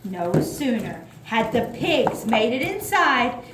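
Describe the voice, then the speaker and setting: Animated high-voiced woman telling a children's story. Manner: theatrical, drawn-out. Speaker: puppet show narrator. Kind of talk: scripted children's story narration. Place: echoey room at a library. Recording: echoey room with clear background noise.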